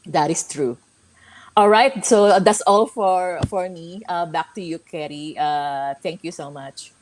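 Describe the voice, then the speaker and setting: Low-voiced, slow-paced woman giving encouragement. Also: a little mellow, slight background noise, poor audio. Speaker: guest speaker on a livestream. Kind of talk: motivational talk.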